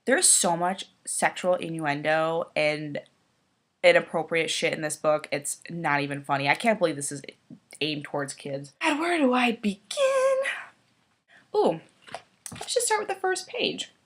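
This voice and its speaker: A woman's voice: sits low, expressive, a little slow. A woman with a head cold, sniffling as she mocks a children's book in a sarcastic, profane casual commentary. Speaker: YouTuber.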